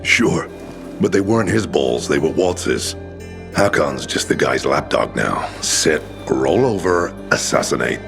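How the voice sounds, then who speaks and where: An actor playing a bitter, blunt older resistance leader in a video game. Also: male voice, lively intonation, measured pace, full character voice. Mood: scornful. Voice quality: deep, gravelly. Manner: blunt, sardonic, swearing. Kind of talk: video game character dialogue. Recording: music behind the voice.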